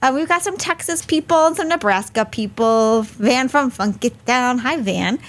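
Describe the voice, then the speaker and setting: A woman's voice: a little high, normal speed. A woman hosting a live webinar over a video call, chatty and friendly, laughing easily. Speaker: host.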